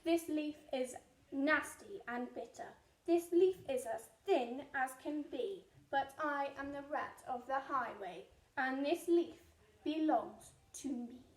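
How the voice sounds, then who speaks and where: A woman playing a rude, greedy male rat highwayman in a storybook, commanding and scornful as they declaim in rhyme. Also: slow pace. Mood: scornful, gloating. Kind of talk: picture-book storytelling. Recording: echoey room.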